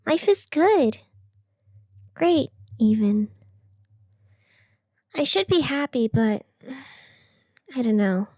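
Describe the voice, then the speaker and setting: A woman's voice: a little high, big pitch swings, very slow, pauses often, dark tone, no echo, clean studio sound, heavily acted. A woman playing a wistful, self-doubting night radio host in a scripted audio drama, speaking in an earnest, confiding way. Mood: unsettled, wistful.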